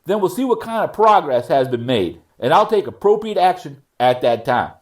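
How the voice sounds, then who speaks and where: A man playing a stern but fair judge in a readers theater courtroom, speaking formally and admonishing in a measured way. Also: on the high side, sing-song. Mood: businesslike.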